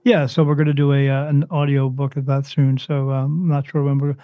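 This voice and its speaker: A man from New Jersey, a spirit medium interviewed as a guest, talking emphatically and insistently, his voice soothing and deep. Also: flat delivery, brisk, no echo, muffled recording. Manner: emphatic, insistent, swings up in pitch when stressing points. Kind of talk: interview, impassioned preaching monologue.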